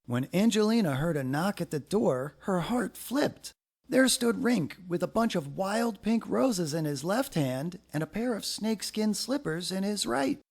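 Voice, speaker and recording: A man narrating a children's story aloud in an expressive, deliberate way with dramatic phrasing. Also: high-pitched, vocal fry.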